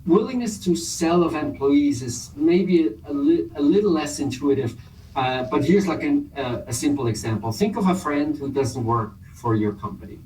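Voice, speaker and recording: A man from German-speaking Switzerland with a Swiss German accent, an author answering interview questions in a lively, explanatory way. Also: a little high, moderate pace, slight background noise, poor audio. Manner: animated, explanatory, laughs often. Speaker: author and professor.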